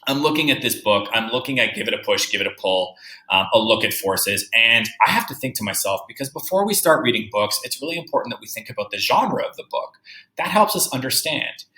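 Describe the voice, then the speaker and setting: Bright-voiced male teacher in a roomy space. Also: medium pitch, animated, brisk. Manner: instructive, emphatic, checking understanding with tag questions. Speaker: third-grade teacher. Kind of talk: read-aloud lesson for children, explaining and reading.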